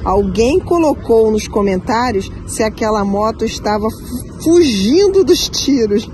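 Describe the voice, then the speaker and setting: A woman's voice: on the high side, lively intonation, measured pace, mellow. A woman from a production team, explaining her work. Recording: clear traffic-like background noise.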